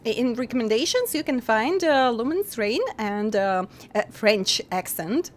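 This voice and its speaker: A young woman streaming a game and chatting casually with her viewers, giggling often and pausing to restart her sentences. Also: a little high, animated. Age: young adult. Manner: giggly, halting with many pauses and restarts.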